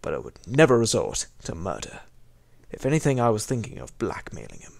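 A man acts out an elderly butler in an audio drama. He is formal and deferential yet gossipy and aggrieved, his husky voice creaking and swinging widely in pitch. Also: wildly swooping pitch, fairly quick, no room sound, full character voice. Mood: indignant.

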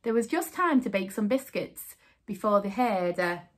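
A woman's voice: mid-range voice, animated, moderate pace. A woman in her thirties hosting storytime, reading aloud to young children in a sing-song way.

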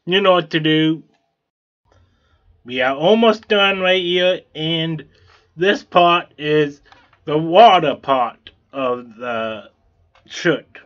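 A man's voice: a little high, lively intonation, slow, long pauses, fairly bright tone, lo-fi sound. A man hosting a vlog, narrating how he hand-draws a t-shirt design in a plain, repetitive way with fillers and restarts. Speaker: t-shirt artist, channel host. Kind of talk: narrated drawing tutorial.

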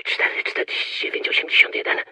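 An actor playing a gruff, agitated conspirator in a radio drama, raspy and clipped, through a telephone filter. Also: male voice, fairly quick, bright, forceful tone. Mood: tense. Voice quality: very raspy, gravelly.

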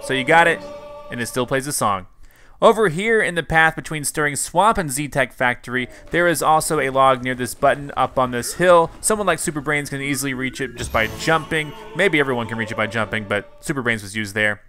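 Bright-voiced man narrating a game guide. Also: medium pitch, a little fast, faint music behind. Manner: upbeat, conversational, addressing viewers directly. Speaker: gaming channel host.

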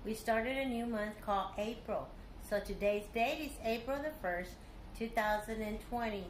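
High-voiced middle-aged woman reading to children. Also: moderate pace, a little hiss or hum, low-quality recording. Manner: animated, simple phrasing addressed to children. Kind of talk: expressive picture-book read-aloud for young children. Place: living room at home, indoors.

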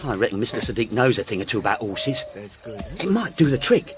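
Male actor as humble working-class jockey, earnest, deferential, rough grammar, very lively intonation, radio play, faint background noise. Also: medium pitch, brisk, lightly acted. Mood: earnest, indignant. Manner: deferential, rustic ungrammatical speech. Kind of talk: radio drama acting.

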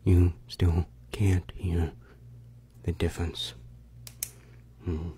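A man's voice: low-pitched, level tone, drawn-out words, mellow, slight background noise. A young man whispering ASMR into a very close microphone, confiding and playfully coaxing the listener. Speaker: ASMR creator. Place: bedroom indoors. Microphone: large desktop microphone, very close.

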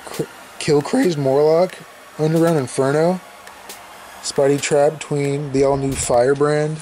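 A man's voice: a little high, fairly even intonation, unhurried, warm tone, a little hiss or hum, low-quality recording. A young man casually rambling and swearing as he opens old comic books at home, talking into a handheld camera. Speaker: podcast host opening comics. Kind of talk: casual unboxing commentary. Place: indoors at home, filming comics on a bed.

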